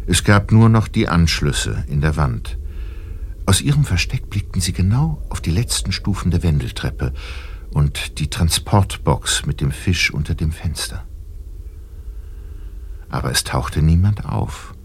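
A man's voice: sits low, big pitch swings, measured pace, darkish tone, slight background noise. A man narrating an audio drama in scripted, storytelling style.

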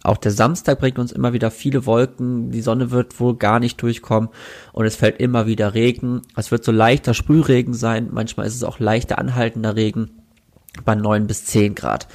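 A man with a cold, his voice congested and sniffling, giving a relaxed, chatty weekend weather forecast. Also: on the low side. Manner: relaxed, chatty, with filler hesitations. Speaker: weather presenter. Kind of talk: weekly weather forecast, casual address.

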